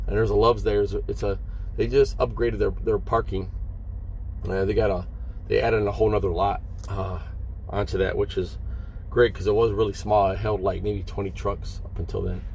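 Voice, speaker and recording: A man, a long-haul trucker, talks casually and haltingly to his viewers from inside a parked truck cab, explaining things and checking in with short questions. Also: fairly low pitch, little pitch movement, a little mellow. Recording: vehicle noise behind the voice.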